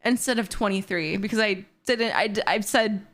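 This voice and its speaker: A woman in her twenties chatting excitedly and bubbly on a livestream from her bedroom. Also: mid-range voice, moderate pace. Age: 20s. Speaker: streamer. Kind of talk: casual livestream chat.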